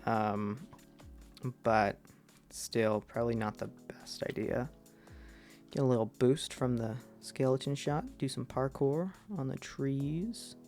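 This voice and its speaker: Slow, gravelly young man streaming. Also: darkish tone, soft background music. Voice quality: deep voice. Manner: rambling, self-deprecating, trails off with fillers. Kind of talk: casual gameplay commentary to chat.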